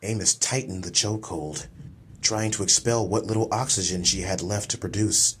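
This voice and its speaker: A man's voice: fairly low pitch, normal speed, a bit bright, slight background noise. A man reading scripted, dramatic action-fantasy narration as an audiobook narrator.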